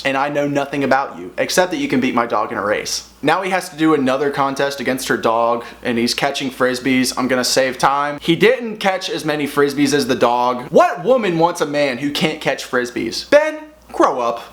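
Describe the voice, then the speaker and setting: A man's voice: mid-range voice, fairly bright tone. A young man giving sarcastic, mocking commentary over a reality dating show clip. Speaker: commentary youtuber. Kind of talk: reaction commentary.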